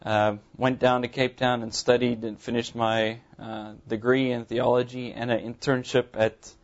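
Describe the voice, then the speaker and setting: A man in his thirties from South Africa, an Afrikaans speaker with a mild Afrikaans accent, giving his testimony from the pulpit of a church in an earnest, halting way with many ums. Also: medium pitch, level tone, highs cut off. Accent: Afrikaans-accented English, mild. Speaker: visiting pastor. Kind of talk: sermon testimony to a congregation. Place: church sanctuary.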